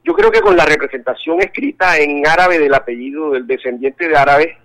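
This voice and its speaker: A man's voice: a little high, big pitch swings, brisk, a bit bright. A Colombian man interviewed by phone, speaking earnestly, often hesitating and repeating words as he searches for them. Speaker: interview guest. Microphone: telephone. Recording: tinny phone line.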